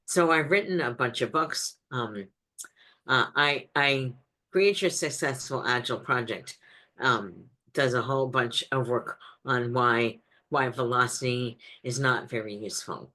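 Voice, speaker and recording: A woman's voice: a bass voice, a little slow, pushed and bright. A woman speaker answering audience questions in a webinar over a video call, direct, with frequent ums and restarts.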